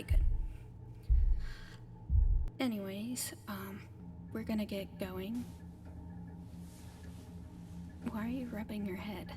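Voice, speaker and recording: A woman playing a friendly, apologetic alien caretaker in an ASMR roleplay, speaking gently and haltingly and often slipping into whispers. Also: mid-range voice, very noisy, natural voice. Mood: cheerful, helpful. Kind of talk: soft-spoken ASMR roleplay addressed to the listener.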